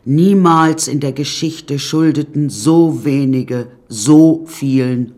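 A woman's voice: low-pitched, takes it slowly, dark tone. An actress playing a wry woman who recounts her death-strewn childhood in a radio play, dry and confiding. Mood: darkly comic. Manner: dry, darkly comic, confiding.

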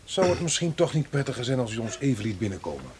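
An actor playing a suavely polite, controlling senior detective figure in a radio play. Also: male voice, medium pitch, very animated, quick pace, close to an everyday voice. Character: smooth-talking, controlling senior man posing as police detective. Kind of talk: Dutch radio play acting. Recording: noisy old radio play recording.

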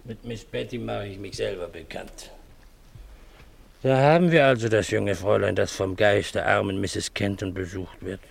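An actor playing a shady, pompous old self-styled scholar of law and ghosts in a 1950s German radio play, gravelly and mock-courteous. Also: male voice, on the low side, moderate pace, heavily acted. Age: older. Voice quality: gravelly, husky.

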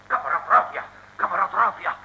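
An actor playing a flustered, servile communist agent who stammers and pleads in an old Dutch comic radio serial. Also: male voice, high voice, a little fast, vocal fry, slightly rough, lo-fi sound, heavily acted. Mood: flustered, pleading. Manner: stammering, repeating words, pleading. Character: flustered, servile male communist agent, eager to explain himself.

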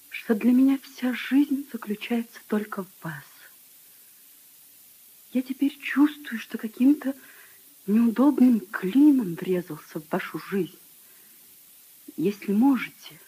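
A tender young noblewoman in grief, speaking slowly in a soft, dark, breathy voice. Her delivery is hushed and emotional, in the manner of old Soviet radio drama. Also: fairly high pitch, rough recording. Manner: hushed, drawn-out, emotional delivery, often breaking into whispers.

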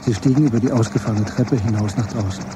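A man narrating a literary radio drama from a script in a measured, understated way. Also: on the low side, background noise.

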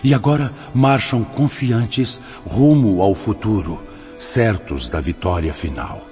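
An actor playing a solemn, exalted storyteller narrating a radio drama. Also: male voice, fairly low pitch, expressive, takes it slowly, warm tone, faint music behind. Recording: dull audio, long reverb.

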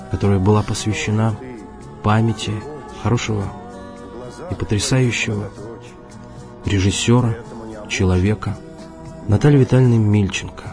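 A man presenting a radio programme, reading a scripted announcement formally in measured short phrases. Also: fairly low pitch. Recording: music behind the voice.

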